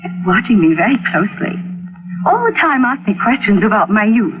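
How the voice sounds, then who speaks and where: An actress playing a vain, anxious middle-aged wife in an old-time radio mystery, speaking in a dramatic, confiding way. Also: female voice, medium pitch, sing-song, fairly quick, close to an everyday voice. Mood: resentful, brooding. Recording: tinny vintage radio recording with music.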